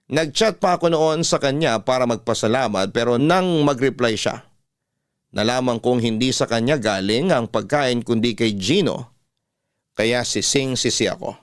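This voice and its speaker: Smooth male radio storyteller. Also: medium pitch, dry recording, studio quality. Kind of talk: scripted first-person story narration, classic radio manner.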